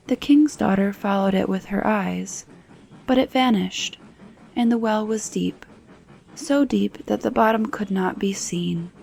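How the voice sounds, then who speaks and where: A woman softly narrating a fairy tale as ASMR bedtime storytelling, at times nearly whispering. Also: mid-range voice, creaky. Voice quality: soft, partly whispered. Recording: noticeable background noise.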